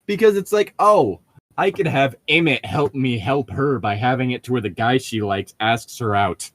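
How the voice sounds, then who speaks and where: A man's voice: medium pitch, expressive, moderate pace, fairly bright tone, no echo. A man riffing casually with friends in a sarcastic, exasperated and sweary way. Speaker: co-host of a comedic group read-along.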